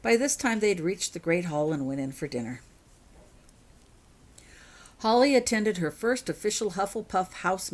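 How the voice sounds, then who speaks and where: A woman reading her own fiction aloud as scripted story narration. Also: lowish voice.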